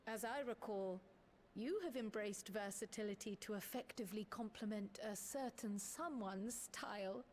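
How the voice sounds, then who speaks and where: A woman's voice: medium pitch, moderate pace, darkish tone, a little hiss or hum, lightly acted. A woman voicing a polite, determined young scholar and magic user in a video game, speaking in a formal, articulate, earnest way. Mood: earnest, resolute. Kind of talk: video game cutscene acting.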